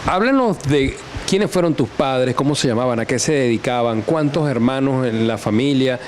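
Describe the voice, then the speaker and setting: A man with a Venezuelan accent hosting a television interview, speaking in measured, formal announcer phrasing. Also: mid-range voice, lively intonation, a little hiss or hum. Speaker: host.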